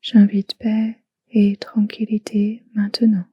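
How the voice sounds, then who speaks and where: A woman guiding a relaxation meditation in a soft, hushed, soothing way, in short phrases with long pauses. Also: medium pitch, monotone, breathy edge, no room sound, lo-fi sound. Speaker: yoga nidra guide.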